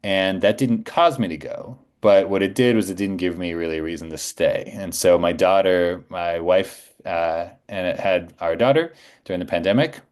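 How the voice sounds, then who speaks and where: A man's voice: deep voice, normal speed, a little mellow. A middle-aged man telling stories in a casual, conversational way as a podcast guest.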